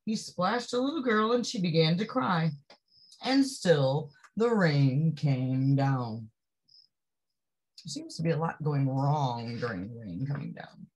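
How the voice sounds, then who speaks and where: A woman reading a picture book aloud to young children, expressively, with drawn-out phrases and chatty asides that put questions to the listener. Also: low-pitched, animated, darkish tone, slightly rough, rough recording. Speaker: storybook reader.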